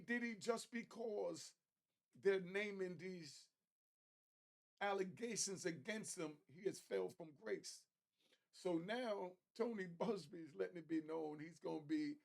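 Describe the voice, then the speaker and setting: A man's voice: high-pitched, creaky. A man giving casual, opinionated commentary in an emphatic, repetitive way, with street slang. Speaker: commentator reacting to a news clip.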